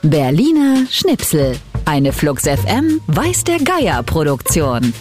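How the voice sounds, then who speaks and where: Slow, swooping female radio jingle announcer. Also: deep voice, wildly swooping pitch, a little mellow. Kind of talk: produced jingle voice-over. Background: jingle music.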